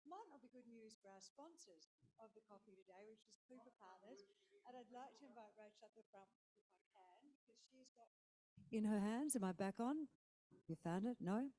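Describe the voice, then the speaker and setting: A woman MCing a conference, chatty, loud and joking while she makes announcements. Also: a little high, expressive, moderate pace, poor audio. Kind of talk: casual announcements. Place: conference room.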